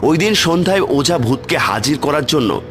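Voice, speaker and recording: A man's voice: on the high side, big pitch swings, normal speed. A man telling a scripted horror story as the narrator of a cartoon tale. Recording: loud music with cricket sounds behind.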